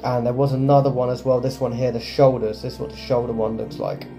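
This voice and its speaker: Guy doing casual game commentary, really mellow dark voice, bit of music behind. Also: medium pitch, little pitch movement, fast. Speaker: gaming video host.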